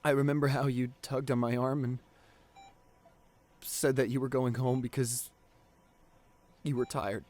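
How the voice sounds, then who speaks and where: Devoted boyfriend, grieving and tearful, played by a man; slow, broken delivery with repeated phrases, intimate audio drama pleading. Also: medium pitch, steady tone, darkish tone, close to an everyday voice. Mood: sad, subdued. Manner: halting, repeats phrases, voice breaking into sobs. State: crying. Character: grieving devoted boyfriend pleading at comatose partner's hospital bedside. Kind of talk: emotional ASMR audio roleplay.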